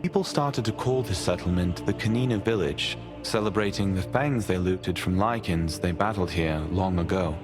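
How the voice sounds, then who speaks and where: Actor as male lore narrator, lowish voice, measured, expository, music behind. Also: close to an everyday voice. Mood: calm. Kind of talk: scripted game lore narration. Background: game music.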